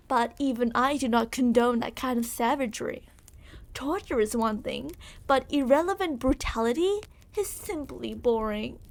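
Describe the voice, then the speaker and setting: High-voiced, smug yandere royal captor played by a woman. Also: gravelly, heavily acted. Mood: coolly menacing. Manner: condescending, theatrical, mock-affectionate. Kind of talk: ASMR roleplay acting.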